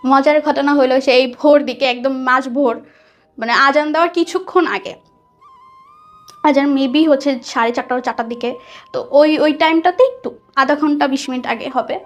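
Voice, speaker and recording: Young Bangladeshi woman, high-pitched, recounting her own story in conversation, soft music underneath. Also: moderate pace. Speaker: guest telling a personal story. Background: background music.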